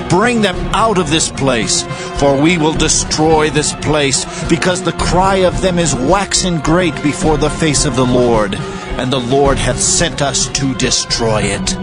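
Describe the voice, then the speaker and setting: A solemn angel giving urgent commands in archaic, declamatory English. The voice sits somewhat high, with music clearly playing beneath it, in the manner of a dramatized scripture recording. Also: male voice. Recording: prominent background music under voice.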